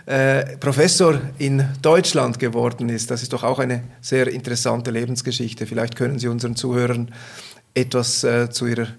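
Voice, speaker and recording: A man's voice: medium pitch, little pitch movement, normal speed, creaky. A man from Switzerland, formally hosting a finance podcast and interviewing a guest politely. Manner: polite, formal, occasional fillers. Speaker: podcast host, bank investment officer.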